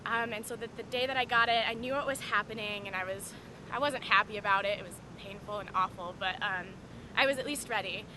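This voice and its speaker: A woman telling a funny personal story in an animated way. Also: medium pitch, fairly quick, noisy background. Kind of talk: personal interview recollection.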